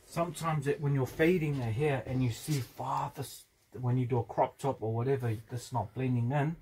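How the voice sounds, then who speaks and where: A man's voice: medium pitch, fairly even intonation, normal speed, a little mellow, slight background noise, poor audio. A young man giving a casual step-by-step barber tutorial while cutting hair in a parked van, rambling and joking, with the odd swear.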